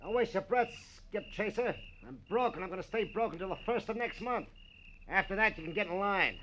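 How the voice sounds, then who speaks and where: A man's voice: high-pitched, expressive, brisk, bright, forceful tone, lo-fi sound, a little hiss or hum. An actor playing a surly, hot-tempered young American man in an old radio crime drama, slangy and defiant.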